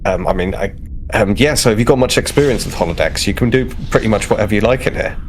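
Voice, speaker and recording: A man's voice: on the low side, fast, slight background noise, lightly acted, low-quality recording. A man playing an eager, flustered young starship security officer in a tabletop game, hesitant and rambling as he talks.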